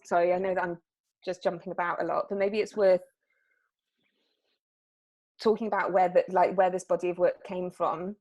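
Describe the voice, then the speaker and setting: A woman artist from Wales, chatting casually in an interview and often pausing on fillers and restarting her sentences. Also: fairly low pitch, level tone, darkish tone. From South Wales. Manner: hesitant, lots of fillers and restarts.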